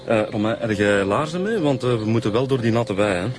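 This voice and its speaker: An actor with a Flemish accent playing a matter-of-fact homicide detective in a naturalistic radio drama, reporting with small hesitations. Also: male voice, fairly low pitch, lively intonation, brisk, lightly acted. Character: male homicide detective, matter-of-fact, briefing his colleagues. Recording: music behind the voice.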